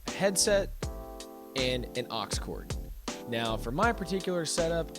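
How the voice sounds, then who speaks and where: A man's voice: a little high, very animated, a little slow. Man explaining steps over prominent music. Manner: step-by-step, explanatory. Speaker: video creator giving a tech tutorial. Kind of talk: instructional tutorial narration. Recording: music behind the voice. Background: background music.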